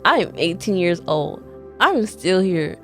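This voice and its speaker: A young woman telling her own story as a podcast guest, chatty and lively, quoting herself often. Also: on the low side, faint music behind. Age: young adult. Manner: chatty, quick bursts, frequent "like" and quoted self-talk. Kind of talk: casual personal storytelling.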